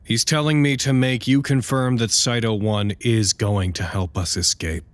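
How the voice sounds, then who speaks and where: A man's voice: mid-range voice, steady tone, moderate pace, a little hiss or hum, natural voice. A man playing an anxious, sardonic doctor in a naturalistic audio drama, with a dry, wordy way of talking. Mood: alarmed, demanding. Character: anxious sardonic male site doctor on radio. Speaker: voice actor.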